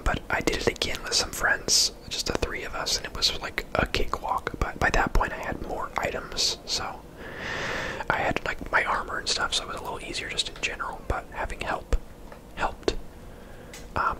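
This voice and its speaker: A man giving casual, unhurried ASMR game commentary in a conversational whisper as he plays. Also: rough recording. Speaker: ASMR gaming host.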